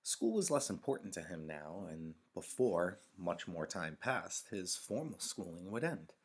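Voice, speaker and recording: Male narrator, deep and husky, for a measured, expressive novel read-aloud. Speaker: teacher reading aloud.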